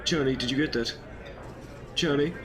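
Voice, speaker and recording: A man's voice: medium pitch, moderate pace, crowd noise, close to an everyday voice. Man playing a whimsical, urgent time-travelling eccentric. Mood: brisk, purposeful. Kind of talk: audio drama acting.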